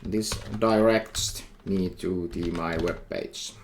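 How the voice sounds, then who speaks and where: A middle-aged man with a Finnish accent, a university lecturer talking through a coding tutorial while he types, thinking aloud in halting phrases. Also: sits low, fairly even intonation. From Finland. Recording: faint noise with keyboard typing.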